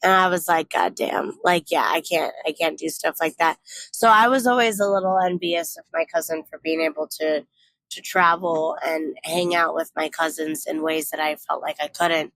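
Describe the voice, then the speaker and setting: A woman's voice: sits low, fairly even intonation, moderate pace, fairly bright tone, slight background noise, low-quality recording. Chatty, reflective young woman. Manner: chatty, reflective, many "like"s and restarts. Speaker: travel vlogger. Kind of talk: casual storytelling to camera.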